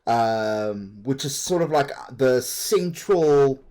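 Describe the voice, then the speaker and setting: A man's voice: mid-range voice, lively intonation, slow, warm tone. A man from South Africa with a South African accent, chatting in a rambling way while streaming a game and laughing easily. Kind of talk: casual chat while playing a game.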